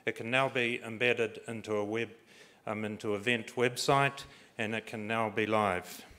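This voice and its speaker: A man giving a prepared conference presentation in a steady, organized way with occasional fillers. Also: lowish voice, normal speed.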